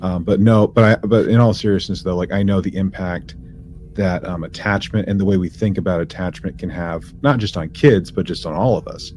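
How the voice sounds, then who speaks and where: Low-voiced man chatting on a podcast. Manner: halting, informal, slangy. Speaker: podcast co-host. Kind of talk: casual explanatory podcast chat.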